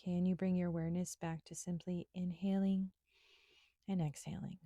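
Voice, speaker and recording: A woman instructor leading a guided meditation in a gentle, soothing, unhurried manner with pauses. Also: fairly low pitch, flat delivery, dry recording, muffled recording.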